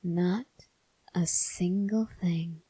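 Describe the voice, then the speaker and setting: A woman playing a soft-spoken, menacing female vampire in an ASMR roleplay, coaxing the listener in a slow, intimate hush that often drops into whispers. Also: on the low side, fairly even intonation, breathy edge, no echo, full character voice, rough recording. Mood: hushed, ominous. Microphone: close mic.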